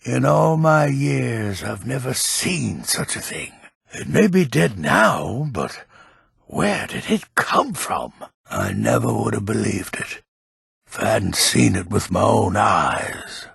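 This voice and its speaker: An actor playing a grumpy old male villager who keeps a potion shop in a fantasy video game, with a gravelly, husky voice and a gruff manner. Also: lowish voice, very animated, slow pace, fairly bright tone, dry recording, studio quality, exaggerated voice. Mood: awed. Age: older. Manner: gruff, curmudgeonly. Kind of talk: video game character lines.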